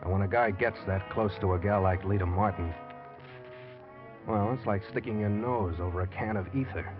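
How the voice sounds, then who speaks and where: A man's voice: lowish voice, normal speed, lightly acted. An American actor playing a scheming husband in a 1940s radio crime drama, telling his murder plot in terse, hardboiled style. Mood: grim, calculating. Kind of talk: 1940s radio crime drama acting, first-person noir narration. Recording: muffled old radio broadcast with faint music.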